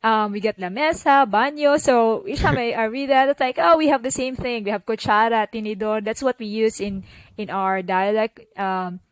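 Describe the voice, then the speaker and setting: A woman's voice: fairly high pitch, normal speed, clean tone, no echo, rough recording. A young woman from the Philippines with a Filipino accent, chatting as a podcast guest and filling her talk with "like" and "you know". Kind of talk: casual interview conversation.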